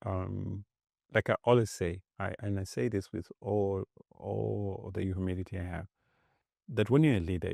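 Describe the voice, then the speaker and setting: A man from Zambia, a tech executive, talking as a podcast guest in an animated way, often restarting and repeating words. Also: deep voice, gravelly, dry recording, clean studio sound. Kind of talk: casual interview talk.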